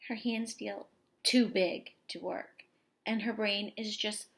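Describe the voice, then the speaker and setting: A woman teacher reading a picture book aloud expressively to young children, pausing for explanations and questions. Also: fairly high pitch, gravelly. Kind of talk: expressive children's story read-aloud with asides to listeners.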